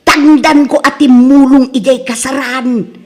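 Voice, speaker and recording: A woman's voice: sits high, steady tone, a little slow, darkish tone. A woman with an Ilocano accent playing a bossy, teasing wife in a comedy drama. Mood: bossy, amused. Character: bossy wife home from a feast, teasing her husband. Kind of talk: Ilocano comedy radio-style drama acting.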